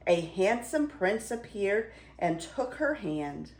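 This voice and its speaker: An older woman reading a fairy tale aloud as a grandmother would, with theatrical, expressive emphasis. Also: mid-range voice, slow, roomy sound, low-quality recording. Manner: theatrical, dramatic storytelling with emphasis.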